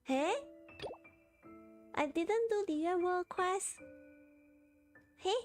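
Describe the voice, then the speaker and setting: A Malaysian woman with a high voice chats casually as she streams a game. She speaks slowly, in short fragments that she often repeats to herself. Faint game music plays behind her. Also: darkish tone. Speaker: game livestreamer. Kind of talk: casual livestream chat while playing a game.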